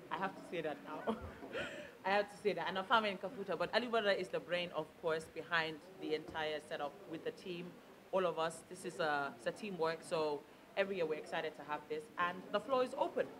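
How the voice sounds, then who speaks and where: A woman's voice: on the low side, brisk, noisy background. A woman from Gambia hosting a press conference, with a big projecting voice and an upbeat, chatty manner.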